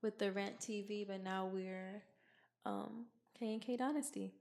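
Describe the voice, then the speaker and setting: A woman's voice: mid-range voice, fairly even intonation, measured pace, creaky, darkish tone. A woman in her twenties from Arkansas, co-hosting a casual podcast, chatty and repeating herself as she talks. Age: 20s. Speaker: podcast co-host. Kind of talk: casual chat.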